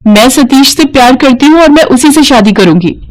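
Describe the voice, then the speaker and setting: A woman's voice: high voice, animated, moderate pace, slight background noise. A woman playing a headstrong, wronged young wife and mother in an emotional, melodramatic cartoon story. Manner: emotional, pleading.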